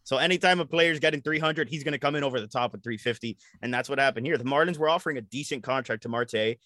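A man hosting a casual sports podcast, talking in a rambling, animated way and often repeating his words. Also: medium pitch, fast, no room sound. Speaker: podcast host.

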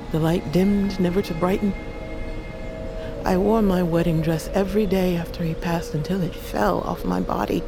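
Low-voiced, solemn old grandmother. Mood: solemn, consoling. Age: older. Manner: solemn, deliberate, commanding. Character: fierce, proud old grandmother, poison master of a fantasy tribe. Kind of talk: audio fiction drama acting. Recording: loud music behind the voice.

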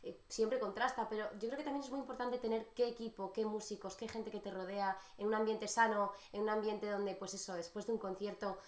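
A woman with an accent from Spain, a singer-songwriter guest, chatting in an animated, talkative way. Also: mid-range voice, fairly quick, few pauses. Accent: Spanish from Spain. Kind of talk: casual interview chat. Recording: echoey room.

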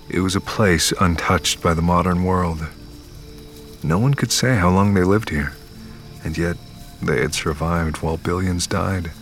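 A man acting as the narrator of an audio drama, playing a weary, haunted survivor who tells his story gravely and in measured phrases. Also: sits low, natural voice. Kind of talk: scripted first-person audio fiction narration.

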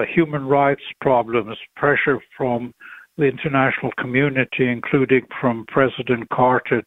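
Muffled, halting man explaining history. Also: mid-range voice, no echo. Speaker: interviewed historian. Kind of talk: explanatory interview commentary. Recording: muffled, lo-fi, radio-like line.

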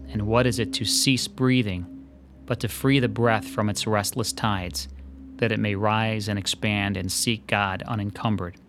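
A man's voice: lowish voice, close to an everyday voice. An actor playing a polite, slightly hesitant male sketch artist in a radio drama.

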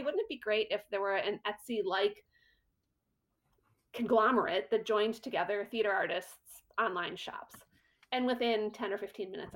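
A middle-aged woman talks as a guest in a relaxed interview, brisk and lively as she tells her story. She acts out her own thoughts in quotes, with a voice of middling pitch. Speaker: costume designer and guest. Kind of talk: casual interview chat.

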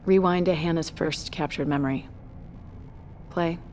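Terse, low brooding woman. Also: steady tone, a little slow, noisy background. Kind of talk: audio drama acting. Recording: music clearly under voice.

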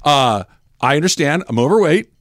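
A man in his fifties with a fairly high, very lively voice hosts casual sports radio banter. He tells stories with emphatic, self-mocking repetition, recorded close and dry. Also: moderate pace, no echo. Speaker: sports talk radio host.

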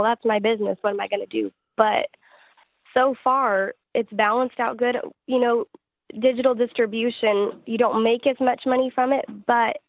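A woman's voice: a little high, dry recording. A woman chatting casually as an interview guest, speaking over a telephone call. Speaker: singer-songwriter being interviewed. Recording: narrow tinny phone line.

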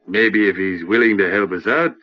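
An actor playing a menacing outlaw gang leader in an old radio western, with gruff, bossy frontier slang and an American West accent. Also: male voice, lowish voice, moderate pace, close to an everyday voice. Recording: dull, lo-fi old radio recording.

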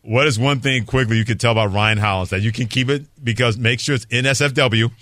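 A man hosting a lively sports radio show, bantering emphatically and repeating words for effect. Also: mid-range voice, quick pace, dry recording, studio quality. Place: dry radio studio.

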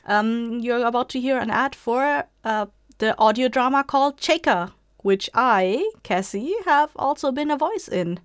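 A woman's voice: a little high, vocal fry, no echo, studio quality. A woman hosting a podcast, talking in a casual, informal and chatty way. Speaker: podcast creator and host.

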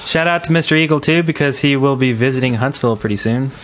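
Male, podcast host, middle pitch, casual, halting, filler-heavy.

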